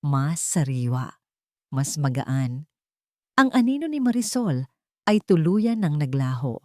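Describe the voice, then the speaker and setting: A woman's voice: low voice, sing-song, unhurried, warm tone, dry recording, studio quality. A woman narrating a scripted romantic drama as a storyteller.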